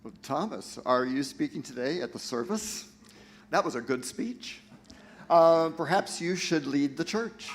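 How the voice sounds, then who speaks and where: A man's voice: on the high side, expressive, measured pace, slight background noise. A man playing an earnest, admonishing peacemaker disciple in a dramatic reading for a church play. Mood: measured, concerned.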